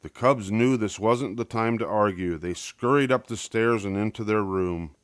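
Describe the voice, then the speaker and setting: An older man narrates a children's storybook. His voice sits low, and the recording is dry, with hardly any room around it. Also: normal speed, no echo. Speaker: storybook reader.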